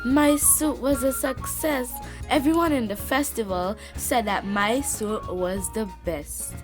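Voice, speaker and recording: Woman voicing an unhurried young girl storyteller. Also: fairly high pitch, over music. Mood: earnest, warm. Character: young Ghanaian-Canadian girl telling her festival story. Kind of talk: scripted children's story narration.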